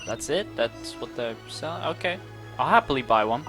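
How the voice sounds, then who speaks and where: A woman game streamer giving casual, expressive, giggly let's play commentary. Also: extremely low pitch, moderate pace, over music.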